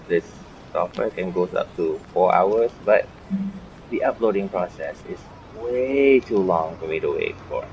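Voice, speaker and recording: A man with a low voice hosts a gaming playthrough in a casual chatty style. The sound is a bit dull, with faint noise behind him. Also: a little mellow. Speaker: gaming video host.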